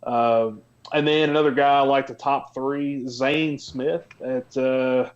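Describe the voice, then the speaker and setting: A man's voice: mid-range voice, steady tone, takes it slowly, highs cut off. A man giving casual sports betting picks as an analyst, in a matter-of-fact, conversational way with some hesitation.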